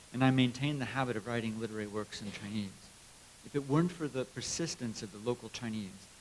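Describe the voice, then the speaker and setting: Male interpreter, mid-range creaky voice, reading a formal speech, faintly hissy recording. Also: mid-range voice, rough recording. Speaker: interpreter reading an English translation. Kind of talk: prepared speech read aloud. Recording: clear background hiss and noise.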